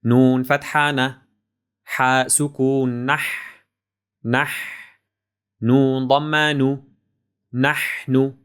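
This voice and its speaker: A man leads a spelling drill as a teacher, sounding out each syllable deliberately. His delivery is extremely slow and level, with little movement in pitch. The voice is very clean and resonant, and every sound is precisely articulated. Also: flat delivery, fairly bright tone, clear, resonant tone. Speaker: Arabic reading and spelling teacher.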